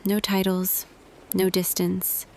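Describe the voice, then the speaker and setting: A woman playing a tender female boss confessing love to an employee in an intimate roleplay audio, hushed and hesitant, slipping between whisper and soft voice. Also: medium pitch, monotone, slow pace, slight background noise, rough recording. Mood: yearning, resolved.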